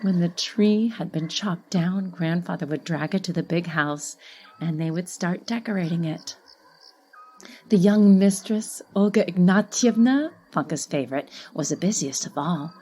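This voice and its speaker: Husky woman reading a literary story. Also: on the low side, unhurried, warm tone. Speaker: audiobook narrator. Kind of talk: expressive literary short story narration.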